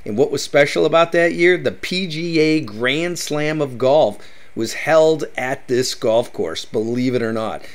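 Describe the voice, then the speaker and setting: A man's voice: medium pitch, measured pace. Upbeat middle-aged man hosting travel tips. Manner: upbeat, repeats "that's right". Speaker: travel vlog host. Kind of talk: enthusiastic travel guide narration.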